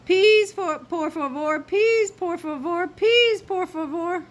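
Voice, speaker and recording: A woman voicing a small, excitable boy kitten hero from a storybook, with dramatic, exclaiming delivery. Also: very high-pitched, takes it slowly, pitched above the performer's own voice. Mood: chanting. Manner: dramatic, exclaiming, whiny when scared.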